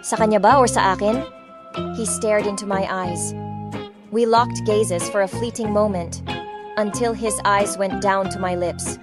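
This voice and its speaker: A woman's voice: mid-range voice, steady tone, measured pace, over loud music. A woman from the Philippines reading a first-person romance audiobook, dramatic and indignant, switching between Tagalog and English. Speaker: audiobook narrator.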